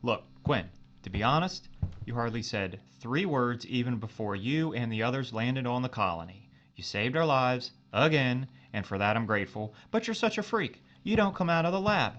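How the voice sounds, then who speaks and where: Spaceship crewman male actor: exasperated, informal, sardonic, medium-pitched, science fiction audio drama, slightly lo-fi sound. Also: expressive, normal speed, a bit bright, close to an everyday voice. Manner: informal, blunt, sarcastic.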